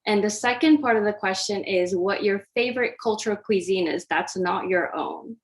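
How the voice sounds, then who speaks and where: A woman's voice: medium pitch, normal speed. A woman from Japan, an oncology dietitian, giving a friendly, interactive online presentation over a video call, with many fillers and restarts. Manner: friendly, hesitant with frequent fillers.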